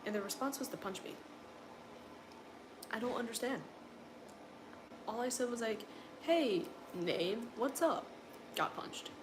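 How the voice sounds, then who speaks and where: Deep-voiced young woman rambling on a livestream. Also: animated, measured pace, pauses often, noisy background. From coastal North Carolina. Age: young adult. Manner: rambling, filler-heavy, exasperated. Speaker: livestream host. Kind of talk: casual livestream chat reading comments.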